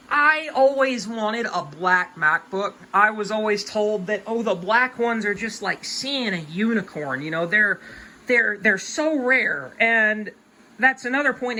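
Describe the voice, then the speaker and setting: A woman's voice: medium pitch, bright, forceful tone, low-quality recording. A woman of about twenty giving hobby advice in a casual, unscripted rant, with an emphatic, folksy, colloquial way of talking.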